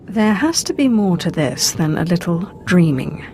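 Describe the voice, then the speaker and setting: An actress playing a cool, determined young Sith apprentice in a video game, controlled, curt and self-assured. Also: female voice, fairly low pitch, very animated, normal speed, darkish tone, slight background noise. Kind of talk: dramatic video game acting.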